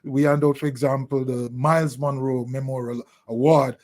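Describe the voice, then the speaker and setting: A middle-aged man with a Jamaican accent giving thoughtful, deliberately phrased answers as an interview guest. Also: mid-range voice, level tone, a little mellow, slight background noise. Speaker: interview guest, leadership consultant.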